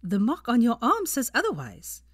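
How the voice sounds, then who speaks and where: A woman's voice: on the high side, huge pitch swings, moderate pace, breathy edge, dry recording, studio quality, voice pushed up, exaggerated voice. A woman voicing an indignant, combative young witch who snaps and sneers, in an audiobook style story reading. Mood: scornful. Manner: snapping, sneering, terse.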